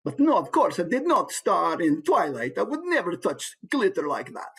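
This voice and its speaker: A man's voice: high-pitched, huge pitch swings, normal speed, fairly bright tone, breathy edge, pitched above the performer's own voice, exaggerated voice. A man voicing a haughty, disdainful cartoon vampire in a theatrical manner. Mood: indignant.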